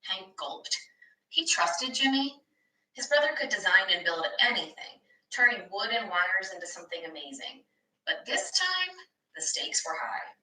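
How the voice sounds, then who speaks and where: A woman reading a children's book aloud like a teacher, expressive and animated, with asides to young listeners. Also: medium pitch, measured pace. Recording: echoey room, distant microphone.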